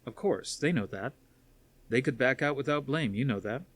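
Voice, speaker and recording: A man voicing a steady, fatherly older military commander in a science fiction story reading. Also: mid-range voice, normal speed, natural voice. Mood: patient, consoling.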